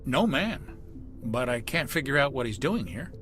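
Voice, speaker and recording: A man's voice: medium pitch, big pitch swings, normal speed, fairly bright tone, faint music behind. An actor playing a wary, plain-spoken male military ship's officer in a video game, respectful but emphatic and suspicious.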